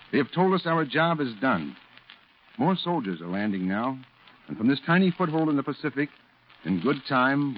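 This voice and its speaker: An actor playing a solemn war correspondent, narrating a 1940s radio drama in a grave, declamatory way. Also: male voice, medium pitch, slight background noise, natural voice. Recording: dull old radio recording with music.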